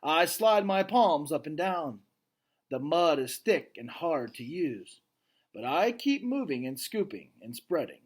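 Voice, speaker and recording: A man voicing a gentle, wistful and earnest adult gorilla from a children's storybook, with hushed, dramatic phrasing. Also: fairly high pitch, very animated, unhurried, slightly rough, exaggerated voice. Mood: calm, reflective. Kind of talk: children's novel read aloud, first person.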